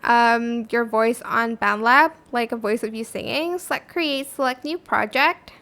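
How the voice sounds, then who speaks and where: A woman with a clearly high-pitched voice narrates a how-to tutorial. She explains each step informally, with an offhand, conversational delivery like a video host talking to viewers. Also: a little slow, pushed and bright.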